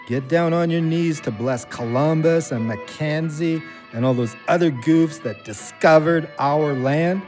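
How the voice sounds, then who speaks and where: A man's voice: fairly high pitch, unhurried, hardly any pauses, a little mellow, soft background music, close to an everyday voice. A man playing an earnest, plain-spoken ex-convict and loyal friend in a naturalistic audio drama. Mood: challenging.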